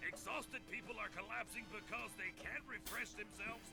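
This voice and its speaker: A gravelly high game narrator. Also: male voice, normal speed. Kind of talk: scripted narration. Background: game music.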